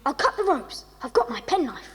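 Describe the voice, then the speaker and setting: A woman's voice: high-pitched, big pitch swings, a little fast, fairly bright tone. A woman playing a polite, capable English schoolboy who takes charge in a children's audio drama. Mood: earnest, polite. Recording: long reverberant hall sound.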